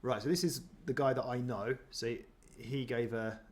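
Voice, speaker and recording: A man's voice: mid-range voice, steady tone, moderate pace, over loud music. A man chatting casually as he reacts to footage of himself, self-deprecating, with many ums and restarts. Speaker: running vlogger.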